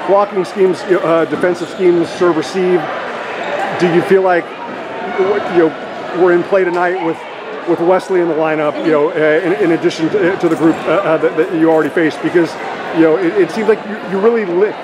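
A man's voice: high voice, steady tone, normal speed. A man interviewing a player after a game, asking long rambling questions full of fillers, in an arena hallway. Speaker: sports interviewer. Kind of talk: postgame interview. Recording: noisy background.

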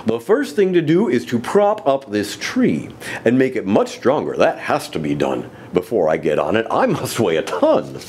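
A man voicing a big, gentle and faithful male elephant who talks in a low, earnest, steady way in a storybook reading. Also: normal speed, a little hiss or hum. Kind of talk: storybook read-aloud.

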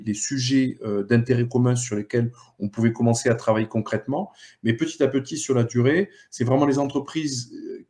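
A middle-aged man giving an explanatory talk over a video call on a headset, halting and repeating small words as he goes. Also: mid-range voice, mellow. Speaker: local economic development director, panellist. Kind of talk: explanatory webinar presentation. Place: indoor room, on a video call.

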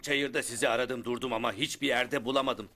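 A man voicing a kindly, cheerful meadow creature in a children's cartoon dub, animated and caring. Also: medium pitch, a little fast, lightly acted. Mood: excited, caring. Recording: loud music bed under the dialogue.

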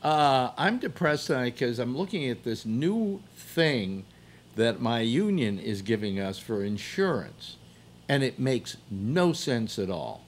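An older man hosting a casual call-in chat, halting and teasing, often repeating himself. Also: medium pitch, sing-song, slight background noise. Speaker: talk show host. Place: home room with bookshelves, indoors.

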